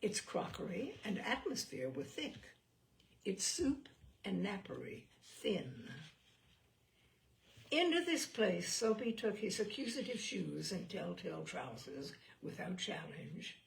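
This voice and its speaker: Female storyteller for classic fiction. Slow, theatrical delivery with a gravelly edge. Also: mid-range voice, sing-song, slight background noise. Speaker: story reader. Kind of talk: expressive audiobook narration of classic short fiction.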